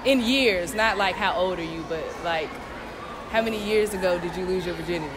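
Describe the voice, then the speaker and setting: A woman's voice: fairly low pitch, a little fast, heavy background noise. A woman being interviewed in a mall, reasoning things out in a lecturing way. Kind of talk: casual street interview. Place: indoor shopping mall.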